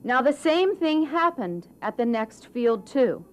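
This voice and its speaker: A woman storyteller giving an expressive, deliberate scripted narration of a children's fairy tale. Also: high voice.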